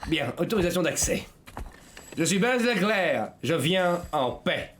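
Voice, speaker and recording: A man's voice: fairly high pitch, sing-song, unhurried, fairly bright tone, a little hiss or hum. A man voicing an earnest, pompous toy space ranger with a slightly nasal voice and grandiose, formal delivery, as amateur cartoon dubbing. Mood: formal, self-important.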